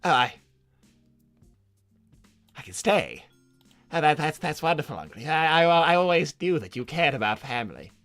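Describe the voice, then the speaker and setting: A man's voice: on the high side, big pitch swings, normal speed, long pauses, a bit bright, no echo, soft background music. A man voicing a meek, servile nephew of a cartoon villain, stammering and hesitant as he talks. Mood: eager, fawning. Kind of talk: improvised character livestream Q&A.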